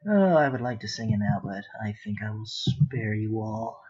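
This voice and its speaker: Husky-voiced man chatting on a stream. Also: lowish voice, normal speed, darkish tone, highs cut off. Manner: rambling, muttering to himself while drawing. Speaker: art livestream host. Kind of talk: casual chat while sketching.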